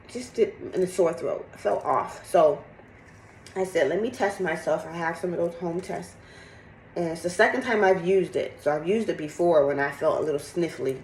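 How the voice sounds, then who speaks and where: A middle-aged woman with a slightly raspy, congested voice, chatting candidly and casually in a rambling personal vlog. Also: lowish voice, moderate pace, darkish tone, reverberant, a little hiss or hum, rough recording. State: sick with congested cough. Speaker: fitness vlogger. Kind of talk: casual chat to camera, personal update.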